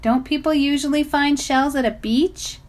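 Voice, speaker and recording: Woman as a blunt, excitable young boy. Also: sits high, moderate pace, voice pushed up, lightly acted. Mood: puzzled.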